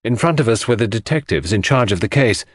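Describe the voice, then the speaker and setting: A man's voice: fairly low pitch, lively intonation, fast, no room sound, clean studio sound. A man reading scripted first-person mystery narration for an audiobook.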